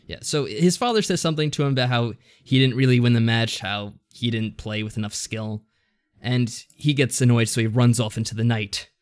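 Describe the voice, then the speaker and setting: A man's voice: mid-range voice, a little fast, clear, resonant tone. A man co-hosting a podcast, recapping things in a casual, hesitant, rambling way. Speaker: podcast co-host. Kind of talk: casual discussion recapping a visual novel.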